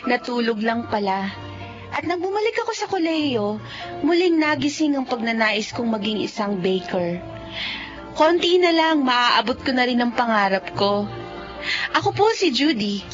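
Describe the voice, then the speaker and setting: Shy, high-voiced young Filipina. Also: female voice, sing-song, measured pace, long pauses, lo-fi sound, faint music behind. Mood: hopeful. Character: shy, respectful young woman, college-age dreamer. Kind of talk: Filipino radio drama acting.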